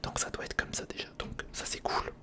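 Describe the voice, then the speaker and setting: A man speaks in a breathy whisper close to the microphone, murmuring casual hands-on ASMR commentary in fragments, as if thinking aloud. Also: a little fast. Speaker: ASMR video creator. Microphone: close recorder microphone.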